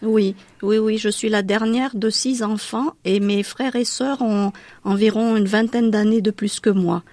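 A woman's voice: medium pitch, fairly even intonation, measured pace, a little mellow, dry recording. A woman from Madagascar whose first language is Malagasy, telling her life story in an interview, hesitant, with many fillers and restarts.

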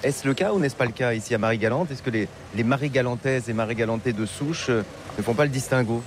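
Un homme mène un entretien et pose des questions longues et reformulées, avec des hésitations. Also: male voice, medium pitch, fast talker. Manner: wordy, rephrasing questions with fillers. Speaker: interviewer. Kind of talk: casual interview questions. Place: outdoor market. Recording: busy crowd noise outdoors. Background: crowd chatter, passing vehicles.

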